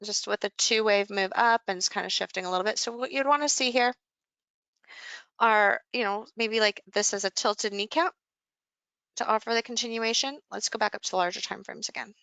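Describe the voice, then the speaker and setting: A woman's voice: medium pitch, brisk, vocal fry, fairly bright tone, clean tone, no echo. A woman coaching a live session, explaining things informally and conversationally as she thinks aloud over charts.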